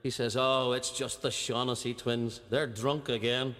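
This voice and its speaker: A man's voice: mid-range voice, flat delivery, a little slow, background noise. A man telling a long stand-up joke, acting out the people in it. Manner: acts out characters in a lilting storyteller's voice. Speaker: comedian telling jokes. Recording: played-back stand-up set with hall reverb.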